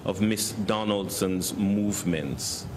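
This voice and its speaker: Low-pitched male briefing moderator, noisy audio. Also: slow pace. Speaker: press conference moderator. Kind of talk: formal briefing, relaying journalists' questions.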